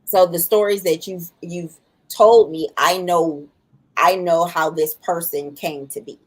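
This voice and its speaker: A woman co-hosting a podcast, talking in a thoughtful, halting way with many restarts. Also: on the low side, steady tone. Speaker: podcast co-host. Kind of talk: casual chat.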